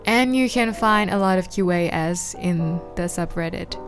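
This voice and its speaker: A woman's voice: on the low side, unhurried, soft background music. A young woman from Thailand, a native Thai speaker, giving casual study tips in a chatty, informal way with frequent "like" and "um". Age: young adult. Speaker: vlogger.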